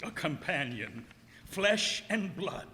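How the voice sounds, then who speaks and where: A man's voice: high voice, sing-song, slow, bright, forceful tone, exaggerated voice. A man playing a blasphemous, proud ship's captain under a curse, declaiming in archaic, anguished radio drama style. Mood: weary despair. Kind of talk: old-time radio drama acting. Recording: echoey hall, stage performance.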